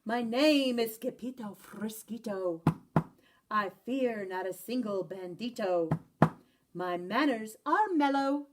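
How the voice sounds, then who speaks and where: A playful young boy kitten with a put-on Spanish accent, voiced by a woman reading aloud. He is bouncy and bold, with wide swings of pitch. The pace is unhurried, as in a storybook. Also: expressive, background noise. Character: excitable boy kitten pretending to be a Chihuahua.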